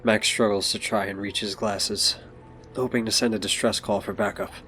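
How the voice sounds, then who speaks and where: A man's voice: on the low side, steady tone, normal speed, sharp tone, soft background music. A man delivering scripted narration as the narrator of an audio drama.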